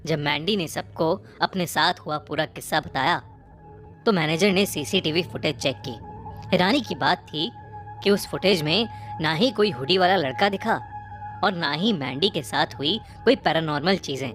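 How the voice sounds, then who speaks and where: A woman narrating a scripted horror story in a measured, suspenseful way, phrase by phrase. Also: low voice, a bit bright. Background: prominent music bed.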